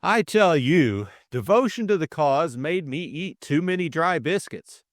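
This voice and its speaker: A man voicing a flippant young gentleman sleuth in an audiobook, glib, drawling and teasing. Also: fairly high pitch, lively intonation, a little slow, no echo, studio quality, voice pushed up. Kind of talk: audiobook character acting.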